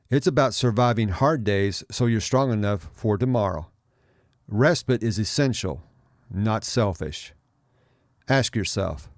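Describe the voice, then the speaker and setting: A middle-aged man narrating a scripted podcast as host, in a measured, encouraging manner. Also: fairly low pitch, darkish tone, no room sound, studio quality. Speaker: podcast host, adoption life coach.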